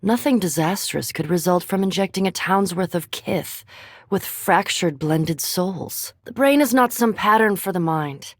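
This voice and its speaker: Erudite vampire scholar, female, fantasy game. Low, breathy-edged voice, precise formal delivery, cool curiosity, close dry recording. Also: unhurried, dry recording. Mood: measured, scholarly. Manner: formal, precise, dryly intellectual. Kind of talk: scripted fantasy game dialogue.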